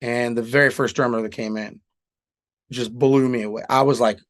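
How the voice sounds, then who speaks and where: Brief: middle-aged man from Southern California, relaxed guest telling stories, swears easily, mid pitch. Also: normal speed. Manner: candid storytelling, casual swearing. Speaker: guest, singer-songwriter and Marine veteran. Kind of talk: casual interview chat.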